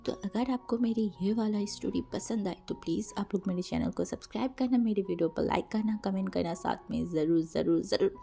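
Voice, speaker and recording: An Indian woman with a hoarse, cold-affected voice tells a story casually and chattily, mixing Hindi with English and repeating words for emphasis. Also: mid-range voice, a little fast, hardly any pauses, mellow, faint music behind. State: sore throat from a cold. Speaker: storyteller, channel host. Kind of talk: casual spoken fan fiction narration.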